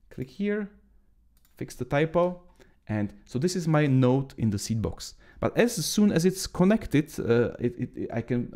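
Man, 30s, Czech accent, non-native English, warm mellow tone, lively pitch, halting with fillers, webcam tutorial. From Czech Republic. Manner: halting, many fillers and restarts. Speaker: trainer. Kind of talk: screen-share tutorial.

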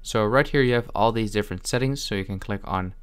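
A man giving a casual software tutorial in a halting, improvised way. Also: on the low side, smooth. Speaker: tutorial host.

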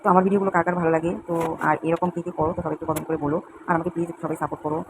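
A woman's voice: low voice, flat delivery, racing. A young woman chatting to viewers in a run-on, repetitive way as she narrates a casual home vlog. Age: young adult. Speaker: home vlogger. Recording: clearly noisy home recording, uneven volume.

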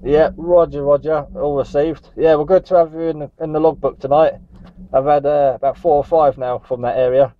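Muffled radio audio carries a man from Northumberland, England, with a slightly high voice. He chats amiably as a CB operator, dropping radio jargon into casual talk. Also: steady tone, a little fast. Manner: friendly, chatty, uses radio jargon. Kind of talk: casual radio contact chat.

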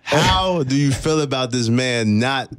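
A man's voice: mid-range voice, lively intonation, unhurried, slight background noise. A young man hosting a casual radio interview, informal, slangy and affirming with his guest. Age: young adult. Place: radio studio. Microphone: close broadcast studio microphone.

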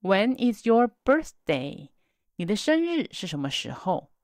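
A woman teaching set phrases in a slow drill, saying each word on its own and then the whole sentence. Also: medium pitch, big pitch swings, a little mellow, dry recording, clean studio sound. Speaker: English teacher. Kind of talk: slow repeat-after-me drill reading.

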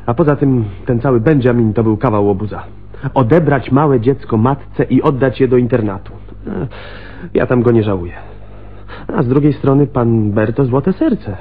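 A man's voice: mid-range voice, moderate pace, breathy edge, narrow, tinny audio, close to an everyday voice. An actor playing a plain-spoken, deferential junior policeman in a Polish radio play. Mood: sympathetic. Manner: colloquial, deferential.

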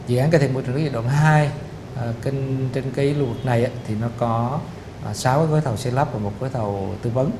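A middle-aged man explaining a city project in a formal interview, measured and full of "ờ" pauses. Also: mid-range voice, background noise. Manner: measured, explanatory, frequent "ờ" fillers. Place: studio office set with photo wall. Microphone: lapel mic.

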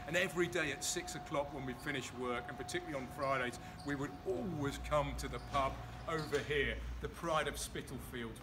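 Guy giving a street tour, slightly high voice, loud traffic behind him. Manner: enthusiastic, explanatory, pointing things out. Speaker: walking tour guide. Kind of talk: casual on-location tour narration. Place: outdoors on busy city streets. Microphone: handheld phone camera close to face. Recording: outdoor street traffic noise. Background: street and traffic noise.

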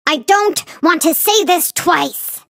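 A woman's voice: extremely high pitch, slow pace, vocal fry, a bit bright, no room sound, clean studio sound, exaggerated voice. A woman voicing a prim, doting and haughty motherly alien flea queen for a video game, theatrical and sing-song as she scolds and coos. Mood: stern, disdainful. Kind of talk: video game character voice lines.

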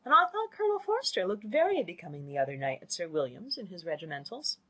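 A woman voicing a fussy, excitable middle-aged mother in a storybook, gushing and emphatic. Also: on the low side, quick pace, heavily acted.

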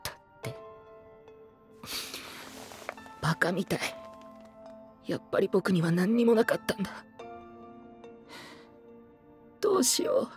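Man voicing a bitter, high-voiced teenage boy idol. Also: quick pace, soft background music, close to an everyday voice. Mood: shaken, bitter. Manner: cheeky, self-deprecating, theatrical. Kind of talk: Japanese audio drama acting.

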